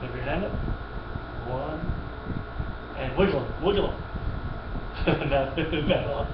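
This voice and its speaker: A man's voice: on the high side, takes it slowly, background noise. A man teaching a child piano, patient and encouraging, repeating points and praising often. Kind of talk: one-on-one children's music lesson. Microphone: webcam from a distance. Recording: echoey room, distant dull webcam mic, music.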